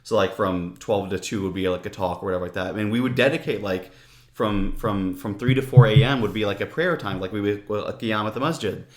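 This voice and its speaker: A man who is a guest on a podcast, telling his own story in a casual conversation and often restarting his sentences. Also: fairly low pitch. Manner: halting, with many restarts and "if you will".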